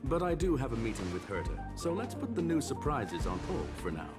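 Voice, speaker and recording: A man voicing a refined, courteous robot gentleman in a video game, speaking formally and with measured curiosity. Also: mid-range voice, big pitch swings, natural voice. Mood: gracious. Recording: prominent game music behind voice. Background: game music.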